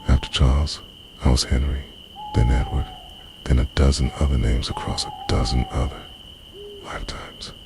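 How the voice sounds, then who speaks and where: A man playing a possessive, menacing immortal lover in an intimate, slow, breathy ASMR roleplay monologue. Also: steady tone, heavy background noise. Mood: possessive devotion. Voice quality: very deep, breathy whisper.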